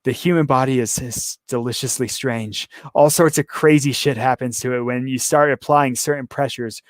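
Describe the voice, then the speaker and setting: A hoarse man reading a calm, gleeful male serial killer in custody, slow, low and matter-of-fact. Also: no echo, clean studio sound, lightly acted. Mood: gloating.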